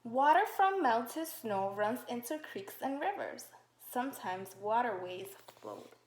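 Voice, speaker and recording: A woman teacher reading a picture book aloud to young children, slow and encouraging, with drawn-out questions to the class. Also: on the high side, very animated. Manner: slow, encouraging, exaggerated sing-song questioning. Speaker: kindergarten teacher. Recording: roomy echo.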